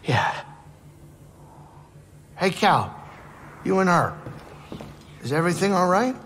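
An actor playing a gruff, nervous, wisecracking non-human male starship pilot in a video game. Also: high-pitched, big pitch swings, slow, a bit bright, in a large hall, slight background noise, full character voice. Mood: chatty. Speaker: ship pilot. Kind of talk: video game cutscene acting.

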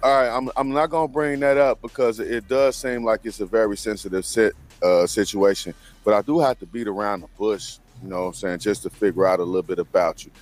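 Low-voiced male podcast host. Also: fairly even intonation. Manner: probing, measured questions. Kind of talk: interview questions. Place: home studio room.

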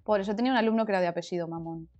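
A woman's voice: mid-range voice, very fast, a little mellow, dull audio, faint music behind. A woman from Argentina with a Rioplatense accent, a former language teacher who chats casually with her chat on a crafting stream. She sounds a little congested from allergies. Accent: Rioplatense Argentine Spanish. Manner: chatty, explains at length, answers chat. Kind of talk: casual livestream chat reading comments while crafting.

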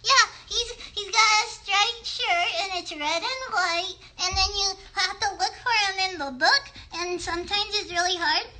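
An eager little girl puppet for a kids' show. The voice is extremely high and very sharp, chatty and childlike with little hesitations. It comes through a live phone stream with some noise. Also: unhurried, few pauses, full character voice. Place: bedroom. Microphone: phone at arm's length.

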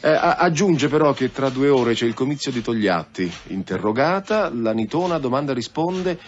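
A dutiful, deferential police underling in a radio drama, acted by a man. He is hesitant and bureaucratic, at a medium pitch, on a slightly noisy, dull recording. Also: expressive, normal speed, natural voice. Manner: stammering, bureaucratic when reading aloud. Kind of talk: Italian radio drama acting.

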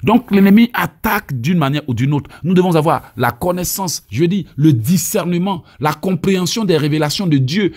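A man's voice: fairly high pitch, expressive, moderate pace, hardly any pauses, a little mellow. A man in his thirties from Côte d'Ivoire, with an Ivorian French accent and Abé as his first language, preaching emphatically with frequent stammered restarts, filmed outdoors on a phone held at arm's length. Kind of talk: deliverance prayer teaching.

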